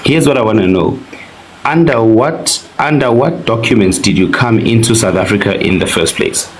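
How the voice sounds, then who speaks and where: A man hosting a panel debate, firmly cutting in to restore order and ask clear questions. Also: lowish voice, moderate pace, a little hiss or hum. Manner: firm, keeps cutting in with "wait". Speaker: host, moderator. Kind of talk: moderating a debate.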